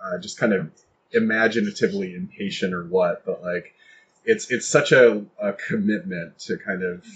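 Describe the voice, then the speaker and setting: A man's voice: low voice, normal speed. A man chatting casually as an interview guest, talking in a rambling, self-deprecating way. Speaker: guest playwright and author. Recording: dull lo-fi recording with faint noise.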